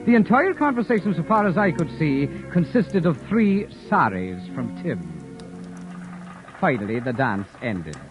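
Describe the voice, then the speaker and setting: A man's voice: a little high, big pitch swings. An actor playing Michael, a witty, lovestruck young man who also narrates, in 1940s-style radio drama acting with a lilt. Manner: wry, theatrical, quick comebacks. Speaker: narrator and lead character. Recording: muffled old radio recording with music.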